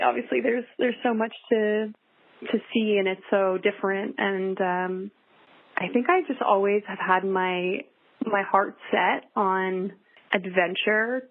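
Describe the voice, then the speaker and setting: A young adult woman talking casually as a podcast guest, chatty with many fillers and restarts. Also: mid-range voice. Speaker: guest pilot. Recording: dry, tinny phone-like line.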